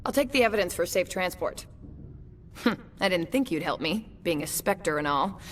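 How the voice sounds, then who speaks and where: A woman's voice: lowish voice, expressive, moderate pace, a little hiss or hum, natural voice. A woman playing a wry, businesslike undercover internal affairs investigator in a video game, with a blunt, informal delivery. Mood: relieved, wry. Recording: voice under loud background music.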